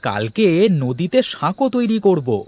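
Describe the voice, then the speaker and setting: A man's voice: on the high side, very animated, unhurried, a little mellow, no room sound. A man voicing a scheming, bossy village husband in a comedy cartoon, boastful and prone to self-pity. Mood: eager, boastful. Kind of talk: Bengali comedy cartoon dubbing.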